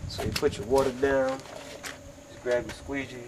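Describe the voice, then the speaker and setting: A man getting over a cold, sniffling and clearing his throat as he explains a how-to job outdoors in a laid-back, chatty way. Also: mid-range voice, steady tone, normal speed. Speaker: DIY video maker. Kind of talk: casual how-to tutorial. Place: outdoors beside a car in sunshine. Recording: outdoor ambient noise.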